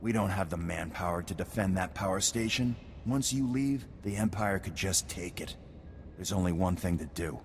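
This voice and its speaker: A man playing an earnest, grave military officer in a science fiction video game. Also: moderate pace. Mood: grave, decisive. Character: earnest, grave Republic military commander at an ice outpost. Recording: boomy hall reverb, noisy game ambience.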